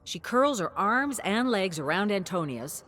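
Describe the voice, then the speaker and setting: A woman reading a scripted dramatic story in an expressive, measured way, in short phrases. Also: medium pitch, slight background noise. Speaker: narrator.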